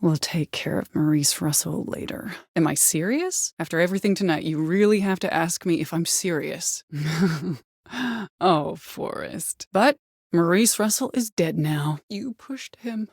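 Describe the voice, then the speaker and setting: An actress playing a woman caller in a video game, by turns desperate, coaxing and menacing, with a hushed, insistent way of pleading. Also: lowish voice, a little slow, dry recording, clean studio sound. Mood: cold menace. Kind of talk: video game voice acting, dramatic dialogue.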